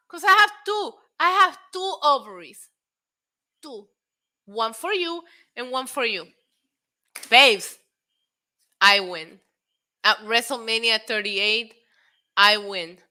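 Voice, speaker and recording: Sharp-voiced excitable woman in her thirties. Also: sits high. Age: 30s. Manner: excitable, mixes in Spanish phrases, answers chat; frequent laughter and profanity. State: tipsy from drinks. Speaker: wrestling show host. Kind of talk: casual live-stream chat.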